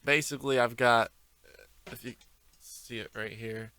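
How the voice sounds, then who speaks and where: Bright-voiced young man rambling casually. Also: medium pitch, steady tone, a little slow, clear, resonant tone, no echo. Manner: rambling, freestyle with many fillers. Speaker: podcast host. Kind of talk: casual solo podcast chat. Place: home basement with concrete walls. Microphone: desk microphone on camera.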